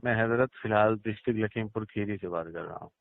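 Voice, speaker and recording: A man's voice: fairly low pitch, fast talker, creaky. Deferential male caller on a tinny phone line. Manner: deferential, polite, probing. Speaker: caller questioning a cleric. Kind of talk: respectful phone inquiry. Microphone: phone call.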